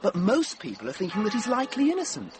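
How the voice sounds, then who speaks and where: An actor playing an earnest, gossipy monk bringing news in a radio drama, chatty and a little hesitant. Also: male voice, sits high, wildly swooping pitch, quick pace, over music. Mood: earnest, excited. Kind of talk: British radio drama acting.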